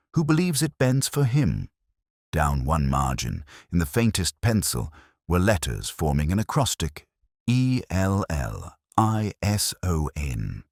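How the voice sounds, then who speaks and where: A man playing a melancholy, erudite detective inspector, narrating a mystery drama in a measured, literary way. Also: rumbling low, no room sound, studio quality, heavily acted. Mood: solemn reading. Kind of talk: scripted first-person mystery drama narration.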